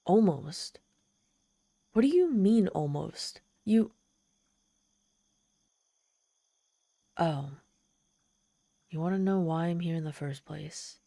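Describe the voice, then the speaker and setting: Low, mellow, sardonic demon woman, acted out by a woman. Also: expressive, creaky, dry recording, heavily acted. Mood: evasive. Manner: exasperated, sardonic, pleading, halting when vulnerable. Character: trapped tsundere female demon, proud, sardonic, desperately lonely. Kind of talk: ASMR roleplay monologue, dramatic storytelling.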